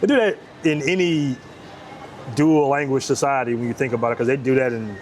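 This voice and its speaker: A man's voice: medium pitch, fairly quick, slight background noise. A man hosting a casual interview, talking in a conversational, emphatic way. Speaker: host.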